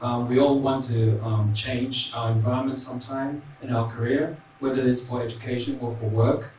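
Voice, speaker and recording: A man's voice: medium pitch, fairly even intonation, moderate pace, darkish tone. A young man with an Australian accent reading prepared points on a panel into a handheld mic, with many ums and tag "right?"s. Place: large hall. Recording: very echoey hall, distant mic, faint noise.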